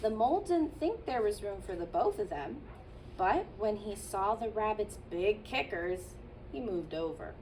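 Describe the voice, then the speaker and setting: A childcare teacher, a woman, reading a picture book aloud to children in a gentle storytelling manner with playful asides. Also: medium pitch, lively intonation, a little slow, recorded from a distance, slight background noise, rough recording.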